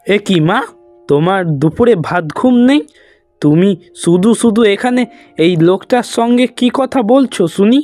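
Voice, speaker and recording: A man's voice: sits high, measured pace, darkish tone, faint music behind. A man voicing a blunt young man who rudely questions a beggar. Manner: blunt, rude.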